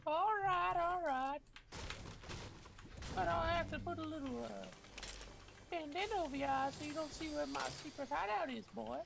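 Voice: high voice, lively intonation, moderate pace, creaky, long pauses, noisy background, exaggerated voice. A performer voicing Mister Herbert, a creepy old man who keeps people locked in his cellar, talking in a menacing drawl. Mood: gloating.

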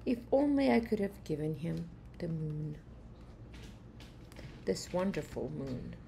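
Kindly old uncle, slow-spoken, read by a woman. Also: low-pitched, very animated, creaky, dark tone, a little hiss or hum, pitched below the performer's own voice, exaggerated voice. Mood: wistful. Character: kind, generous old uncle in a fable.

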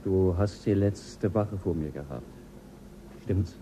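A man's voice: deep voice, slow pace, mellow, a little hiss or hum. An actor playing Jell, the stern male pilot of a spaceship, in a German radio play, talking in a firm, commanding and threatening way.

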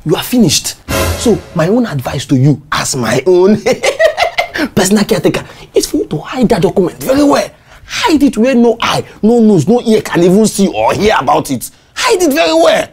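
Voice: mid-range voice, sing-song, moderate pace, music in the background. A performer playing a sly, two-faced Nigerian caretaker. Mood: conspiratorial. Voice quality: wheedling, stammering, pleading. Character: scheming two-faced caretaker, smooth-talking.

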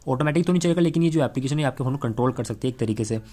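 A man's voice: medium pitch, racing, warm tone. A man, explaining tech in a conversational, step-by-step way as a channel host. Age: 20s. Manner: conversational, explains step by step with frequent tag phrases. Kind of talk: explanatory tech vlog to camera and screen tutorial. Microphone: clip-on lapel mic.

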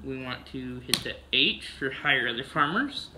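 A man's voice: mid-range voice, animated, moderate pace, noisy background. A man casually narrating a farming video game, humming "mm-hmm" between steps, recorded on a camera across a room. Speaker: gameplay narrator.

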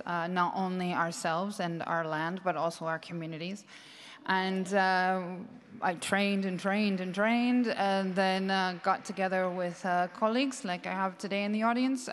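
American woman on a reverberant conference stage. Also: lowish voice. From United States. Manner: earnest, many fillers and restarts. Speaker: panel speaker, farmer trainer. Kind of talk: conversational panel talk. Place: large conference stage with audience. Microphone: handheld microphone. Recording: long hall reverb.

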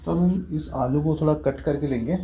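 Guy showing a recipe at home, mellow voice, echoey kitchen, bit of background hiss. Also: fairly high pitch, normal speed. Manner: relaxed, explains each step plainly. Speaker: home cook demonstrating a recipe. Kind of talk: casual step-by-step cooking demo. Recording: echoey kitchen with faint noise.

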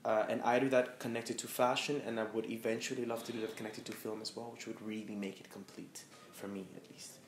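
A man's voice: fairly low pitch, little pitch movement, fairly quick, few pauses. Man in his 30s, from the Netherlands, candid and reflective, roomy echo, faint hiss. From Amsterdam, Netherlands. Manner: candid, reflective, with frequent fillers. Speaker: guest, fashion stylist. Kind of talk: conversational talk before a small audience. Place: room with a live audience.

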